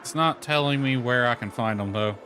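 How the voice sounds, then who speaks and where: A man's voice: medium pitch, moderate pace, bright, forceful tone. A man thinking aloud in casual live game commentary, muttering to himself with mild exasperation. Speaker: game streamer. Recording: clearly noisy background.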